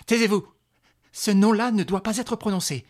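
A fierce mother in a dramatic novel, acted out by a male reader. She is urgent and commanding, defiant on behalf of her child. The voice has a husky grain. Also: fairly high pitch, lively intonation, a little slow, exaggerated voice. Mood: agitated.

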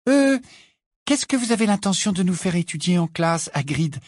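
A man's voice: sits high, sing-song, normal speed, no room sound, studio quality, pitched above the performer's own voice. A man voicing an earnest, anxious, bossy teenage schoolgirl in a storybook, urgent and insistent. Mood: timid, curious.

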